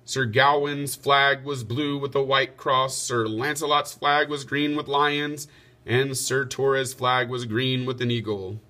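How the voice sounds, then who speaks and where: Bright-voiced man reading a storybook. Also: mid-range voice, steady tone, slow pace. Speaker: teacher reading aloud. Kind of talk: children's storybook narration.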